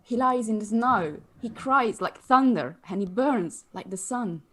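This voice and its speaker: A woman playing a solemn, grave figure of mystic power in a dramatic screenplay table read, speaking deliberately. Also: fairly high pitch, expressive, fairly bright tone. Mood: urgent, awed.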